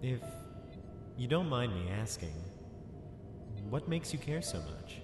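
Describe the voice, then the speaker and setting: A man playing a reserved but friendly military lieutenant in a sci-fi drama, talking in a conversational, gently probing way. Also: medium pitch, big pitch swings, slow pace. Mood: curious, probing. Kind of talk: fan sci-fi drama acting. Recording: echoey reverb with music underneath.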